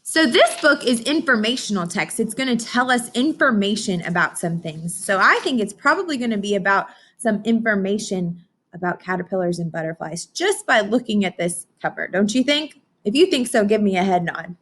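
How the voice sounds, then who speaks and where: A woman's voice: mid-range voice, animated, normal speed, fairly bright tone. A woman teaching young children in an interactive read-aloud lesson, encouraging and instructional, often putting questions to her listeners.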